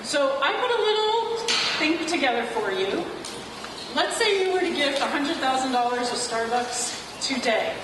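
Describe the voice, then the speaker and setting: A woman's voice: sits high, sing-song, measured pace. Woman with slight accent, echoey hall. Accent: slight Dutch-sounding accent. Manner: chatty and joking. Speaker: wealth advisor presenting. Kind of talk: informal presentation to an audience. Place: large echoey venue, a car showroom. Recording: echoey hall from far off, noisy.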